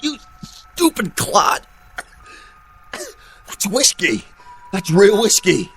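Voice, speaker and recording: An actor with an American accent playing a vain, petulant, bullying cowboy star in a radio drama. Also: male voice, high-pitched, very animated, drawn-out words, a bit bright, a little hiss or hum, full character voice. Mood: indignant, bewildered. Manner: whiny, bullying, self-important. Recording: faint music behind the voice.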